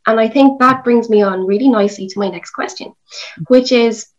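A woman's voice: a little high, brisk, lo-fi sound. A woman with an Irish accent interviewing a guest with encouraging questions.

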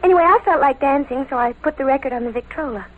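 A woman's voice: sits high, fast, phone-quality sound, natural voice. A young American woman playing a nervous, lovestruck teenage girl in a 1940s radio drama, hesitant and earnest as she confides. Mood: dreamy, confiding. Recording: old radio broadcast with music behind.

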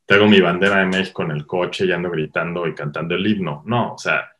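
Deep-voiced Mexican man telling stories. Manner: animated storyteller, quick asides, lots of "o sea". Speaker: guest filmmaker. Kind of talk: casual interview talk.

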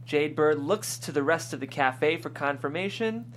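A woman's voice: sits low, lively intonation, moderate pace. Woman reading stage directions, slightly noisy recording. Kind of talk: scripted narration at a table read.

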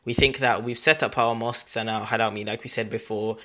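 Man, host, bright sharp voice, earnest, reflective. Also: lowish voice, steady tone, brisk. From England. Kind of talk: podcast conversation.

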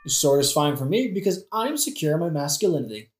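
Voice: medium pitch, big pitch swings, fast, reverberant, close to an everyday voice. A performer playing a flippant, sarcastic, world-weary young prince in a modern comic audioplay, using casual slangy speech. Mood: casual, cocky. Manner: sardonic, slangy.

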